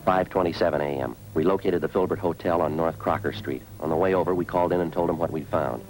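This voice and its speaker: A man's voice: low voice, fast, over music, natural voice, rough recording. A man playing a hard-boiled, weary police sergeant in a radio crime drama, with clipped, matter-of-fact delivery. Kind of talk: 1950s radio police drama acting and narration.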